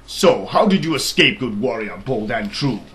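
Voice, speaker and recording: A man's voice: medium pitch, expressive, normal speed, a bit bright, close to an everyday voice. A man voicing a grand, probing king in a storybook reading, pompous and declamatory. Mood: incredulous, imperious. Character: grand, probing good king questioning his soldier.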